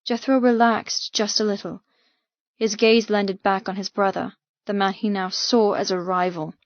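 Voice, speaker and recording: A woman reads dramatic first-person fiction as an audiobook narrator. Her pitch is middling and her delivery intense and emotive. The recording is very dry and slightly dulled. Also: fairly even intonation, normal speed, dry recording, muffled recording.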